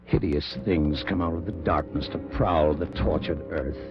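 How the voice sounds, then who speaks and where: A man's voice: a bass voice, steady tone, normal speed. A man narrating as the announcer of a 1940s radio horror show, ominous and theatrical. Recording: dull 1940s radio recording. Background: loud dramatic music.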